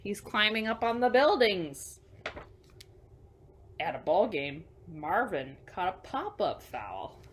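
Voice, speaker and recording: Slow, expressive woman reading to young children. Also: mid-range voice, slight background noise. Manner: expressive, slow emphatic reading with hushed asides. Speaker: library assistant hosting children's storytime. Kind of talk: picture book read-aloud for young children.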